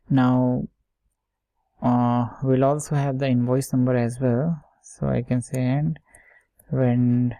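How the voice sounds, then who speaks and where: Male software instructor, muted mellow tone, dry close sound, thinking aloud through each step. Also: medium pitch, gravelly, no room sound, poor audio. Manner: explains step by step, often checking with "okay" and "right". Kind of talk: informal screen-share coding tutorial.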